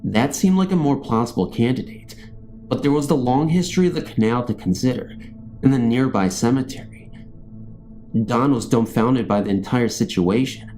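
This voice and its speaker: A man narrates true ghost stories in a dark, mellow voice at a medium pitch. His delivery is scripted first-person storytelling, with faint music underneath. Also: normal speed.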